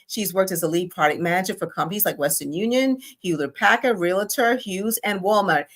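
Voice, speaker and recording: A woman co-host of a talk show reading out a prepared guest introduction. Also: medium pitch. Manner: measured, scripted delivery.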